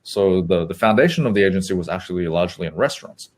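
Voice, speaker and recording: Deep-voiced man talking business. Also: fast talker. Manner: relaxed, rambling, frequent ums. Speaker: guest co-host, agency co-founder. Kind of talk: casual business talk.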